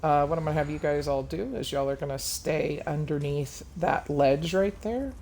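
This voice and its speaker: A man calmly explaining tactics step by step as he leads a group of players through a game on stream. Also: fairly high pitch, normal speed, background noise. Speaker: raid leader.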